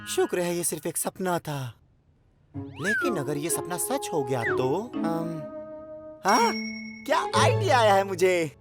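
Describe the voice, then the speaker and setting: A woman voicing a boastful, excitable young male diesel train in a children's cartoon, with a dramatic, exclaiming manner. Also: lowish voice, slow pace, loud music behind the voice, heavily acted. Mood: relieved, pondering. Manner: dramatic, exclaiming, self-important. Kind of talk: animated children's cartoon dub in Hindi.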